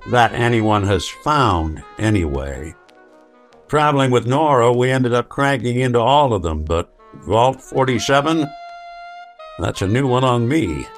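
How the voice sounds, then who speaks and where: An actor playing a world-weary, wry robot detective in an old-time radio noir style. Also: male voice, mid-range voice, expressive, unhurried, soft background music, lightly acted. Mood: wry, terse. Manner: terse, wry, hardboiled.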